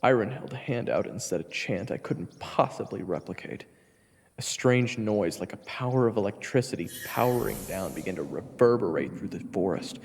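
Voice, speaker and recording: A man's voice: lowish voice, expressive, normal speed, vocal fry, darkish tone, husky, in a large hall. A man narrating a scripted fantasy story in the first person. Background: faint music.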